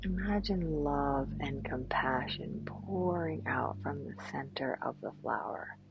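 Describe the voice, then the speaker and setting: A woman guiding a meditation in a gentle, soothing manner, with long pauses and a soft voice that turns breathy at times. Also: low-pitched. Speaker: yoga teacher and meditation guide. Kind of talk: guided meditation. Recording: dull, lo-fi sound. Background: clear background music.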